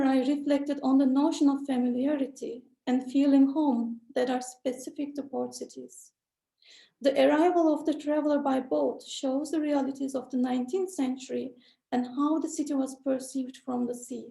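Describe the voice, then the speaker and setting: A woman presenting a research paper at an online conference, reading in a measured, formal way. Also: high voice, gravelly, warm tone, reverberant. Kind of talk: read academic presentation.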